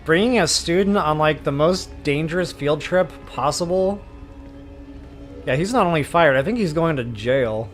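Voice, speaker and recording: A man's voice: on the high side, lively intonation, moderate pace. A man giving casual live gameplay commentary as a walkthrough host, explaining things in a chatty, informal way. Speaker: game streamer and walkthrough host. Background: game music.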